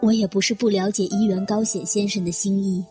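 A woman's voice: mid-range voice, fairly even intonation, brisk, warm tone, close to an everyday voice. A woman playing a bitter, self-deprecating career secretary in an audio drama, giving a brooding, wistful inner monologue. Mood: resigned. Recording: music behind the voice.

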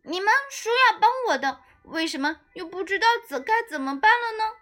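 A woman voicing a small, indignant young apprentice chef with pouty, fast exclamations in a storybook. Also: very high voice, lively intonation, sharp tone, pitched above the performer's own voice, exaggerated voice. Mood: angry.

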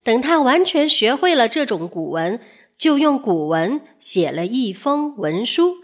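A woman reads a children's fairy tale as a storyteller. Her voice is fairly high and very lively in pitch, and she takes her time, narrating with measured expression.

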